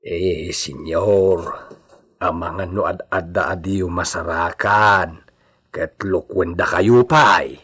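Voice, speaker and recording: A man's voice: deep voice, slow pace, a bit bright, slightly rough, heavily acted. A man playing a loyal servant in a radio drama, earnestly and deferentially cautioning his master. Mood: concerned.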